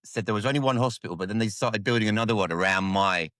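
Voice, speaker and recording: A man's voice: fairly low pitch, fast, fairly bright tone, dry recording, studio quality. A man, hosting a podcast in a friendly, jokey, self-deprecating way. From England. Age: 40s. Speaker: podcast host. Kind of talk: casual chat.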